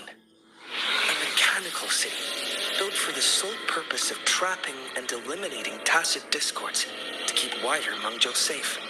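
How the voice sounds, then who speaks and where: Man acting as thoughtful male scholar, courteous, deliberate, articulate, mid-pitched voice, video game exposition, music underneath. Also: natural voice. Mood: grave, explanatory.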